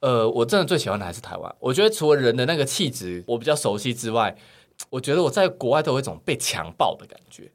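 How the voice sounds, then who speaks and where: A man's voice: medium pitch, sing-song, fast talker. A man with a Taiwanese accent chatting casually as a podcast guest, telling comic stories in a playful, campy, exaggerating way. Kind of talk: casual chat, comic storytelling.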